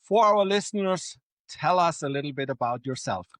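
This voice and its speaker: A man's voice: fairly high pitch, lively intonation, moderate pace, dry recording. A man hosting a podcast, reading a scripted intro and sponsor message and then asking his guest simple questions in a friendly way. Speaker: podcast host. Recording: loud music behind the voice.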